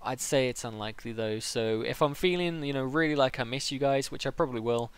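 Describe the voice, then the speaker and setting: A man's voice: medium pitch, fairly quick, clean tone. A man hosting a live stream, chatting casually as an interviewer. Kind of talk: casual livestream interview chat.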